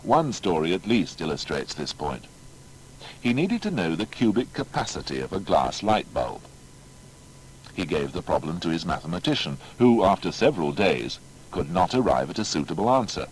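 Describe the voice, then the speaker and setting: Male narrator for audio biography, very low and animated, formal emphatic storytelling, faint noise behind. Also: moderate pace, poor audio. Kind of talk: scripted documentary biography narration.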